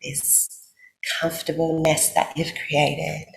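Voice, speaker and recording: A woman with an Australian accent guides a sleep meditation in a soothing, unhurried way, leaving long pauses. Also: deep voice, level tone, creaky. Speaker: yoga nidra guide. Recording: roomy echo, faint background noise.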